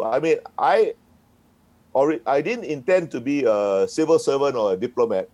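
A man's voice: mid-range voice, dark tone. Wry man in his sixties. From Singapore. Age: 60s. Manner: chuckles often, frank and wry. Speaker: guest, retired diplomat. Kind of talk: relaxed interview answers.

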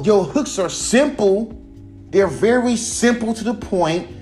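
A man with a smooth baritone voice, hosting a casual solo podcast and ranting about his own music, swearing often. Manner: animated, swears often, jumps to a high voice when mimicking fans. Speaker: podcast host and rapper. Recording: roomy room with guitar music behind.

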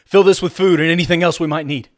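A man's voice: medium pitch, fast talker, close to an everyday voice. A man voicing a terse, demanding but kind young man on the run, curt and instructing, in an audio story. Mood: urgent.

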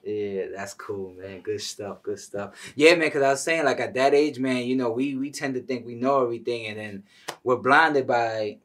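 A man's voice: medium pitch, moderate pace, fairly bright tone, far from the mic. An American man hosting a podcast, talking in a casual, slangy, familiar way with a showman's hype. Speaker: podcast host.

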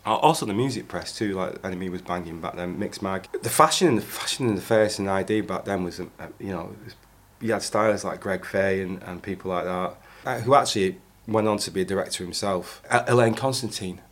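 A man's voice: low voice, fairly quick, hardly any pauses. A man from Bolton with a Northern English accent, a podcast guest telling stories in casual, swearing, laughing chat. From Bolton, England. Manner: animated, swearing, laughing storyteller.

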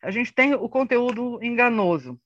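A man's voice: extremely high pitch, animated, moderate pace, a bit bright, dull audio. A man with a Brazilian accent giving an informal lecture in a didactic, conversational way, often adding tag questions. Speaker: panelist presenting on disinformation. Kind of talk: informal lecture with slides in an online debate. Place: online live session recorded for a podcast.

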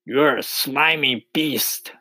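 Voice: mid-range voice, slow pace, pushed and bright, husky, no room sound, voice pushed up, exaggerated voice. A performer voicing a boastful, cheeky male centipede in a storybook, bragging and teasing. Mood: mocking, gleeful.

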